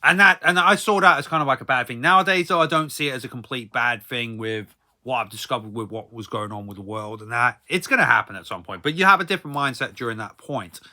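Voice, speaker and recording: Bright, forceful man rambling casually. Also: mid-range voice, fast talker, hardly any pauses. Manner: hesitant and repetitive, often trailing into tag phrases. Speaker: vlogger. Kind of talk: rambling casual story time monologue.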